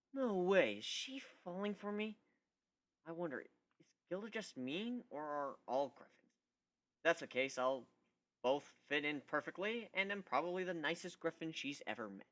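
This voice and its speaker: A man's voice: a little high, sing-song, normal speed, pauses often, a bit bright. A man giving an amateur first-person dramatic reading of a story in a wry, conversational manner. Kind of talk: first-person dramatic narration of fan fiction.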